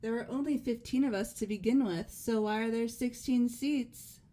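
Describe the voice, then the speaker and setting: A woman's voice: fairly high pitch, moderate pace, mellow, close to an everyday voice. A woman voicing a matter-of-fact, reasoning student in an amateur video game dub.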